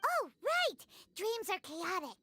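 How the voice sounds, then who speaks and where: A woman voicing a tiny, excitable childlike flying companion in an anime game, blurting things out indignantly. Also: extremely high pitch, takes it slowly, no echo, exaggerated voice. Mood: confused, excited. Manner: excitable, blurting, speaks of self in third person.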